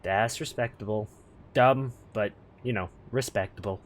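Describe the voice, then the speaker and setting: A man playing an exasperated but fatherly police chief in a comedic audio drama, speaking in a halting, folksy way. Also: on the low side, natural voice. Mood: grudgingly supportive. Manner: halting, hesitant, folksy phrasing.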